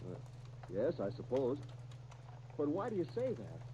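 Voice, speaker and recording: A man's voice: fairly high pitch, wildly swooping pitch, normal speed, mellow. An actor playing an earnest, polite, naive young small-town salesman with an American accent, hesitant and eager, in 1930s radio drama style. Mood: puzzled, anxious. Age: young adult. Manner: eager, puzzled, hesitant, respectful. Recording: muffled 1930s radio disc, faint noise.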